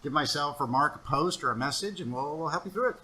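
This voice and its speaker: A man in his forties co-hosting a casual sales podcast, upbeat and promotional, pitching coaching and giving pep advice. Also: animated, fast, bright, forceful tone. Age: 40s. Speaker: podcast co-host.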